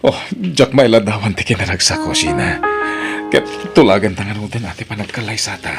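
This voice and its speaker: A man's voice: lowish voice, animated, a little fast, music in the background, lightly acted. A man playing an eager, lovestruck father in an Ilocano audio drama, with an Ilocano accent and a hesitant manner. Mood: delighted.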